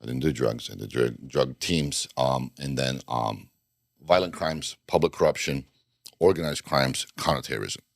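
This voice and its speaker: Very deep-voiced man, rambling chat. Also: moderate pace, gravelly, no room sound. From Bosnia. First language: Bosnian. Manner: rambling, restarts sentences, dry self-deprecating jokes. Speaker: podcast guest, former undercover FBI agent. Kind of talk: casual interview conversation.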